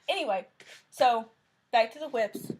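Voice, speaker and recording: A woman's voice: fairly high pitch, animated, measured pace, darkish tone, a little hiss or hum. A woman with a thick Southern Tennessee accent chatting casually and rambling a bit as she hosts a crafting vlog. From Tennessee, USA. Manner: chatty and rambling, with frequent fillers and self-corrections. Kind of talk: casual chat about cross-stitch projects.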